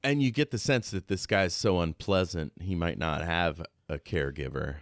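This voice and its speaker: A man with a very low, smooth voice talks through a book as a podcast co-host. He feels his way through his thoughts in a halting, conversational manner. The sound is dry and close, with no room around it. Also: dry recording. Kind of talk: casual book discussion with read-aloud excerpts.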